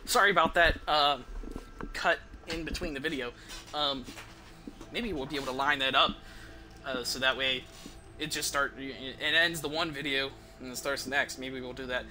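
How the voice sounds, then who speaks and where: A man's voice: medium pitch, fairly even intonation, moderate pace, sharp tone. A young man chatting casually and offhandedly as he streams a video game, rambling along between bits of play. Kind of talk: casual chat while playing a video game. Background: game music.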